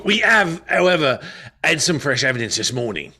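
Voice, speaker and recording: Old male railway official acted by a man, highish voice, lively pitch swings, courteous, earnest, radio drama. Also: normal speed, slight background noise, full character voice. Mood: helpful. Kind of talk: radio play acting.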